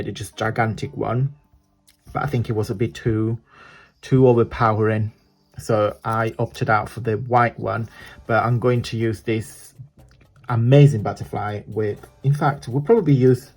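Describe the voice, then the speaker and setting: A man's voice: mid-range voice, darkish tone, breathy edge. A man with an Italian accent, chatting casually while showing a crafting tutorial, rambling and correcting himself as he goes. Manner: chatty, rambling, self-correcting, laughs often. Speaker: craft vlogger.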